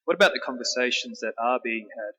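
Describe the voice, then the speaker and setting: A man asking a formal question from the floor of a public debate, halting and repeating words. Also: medium pitch, expressive, pushed and bright, muffled recording. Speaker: audience questioner. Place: large town hall. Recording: echoey large hall.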